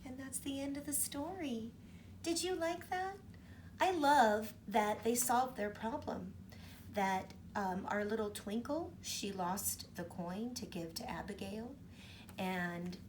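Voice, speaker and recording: A woman's voice: medium pitch, a little hiss or hum. A middle-aged woman hosting children's storytime, reading a picture book aloud and chatting cheerfully with kids.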